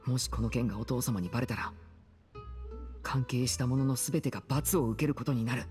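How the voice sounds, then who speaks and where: A man's voice: mid-range voice, a little mellow, natural voice. Composed, probing young man, acted by a man. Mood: stern. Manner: measured, serious, questioning. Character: composed, probing teenage older brother, a young magician. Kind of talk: Japanese anime-style game voice acting. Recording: studio voice over game music. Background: background music.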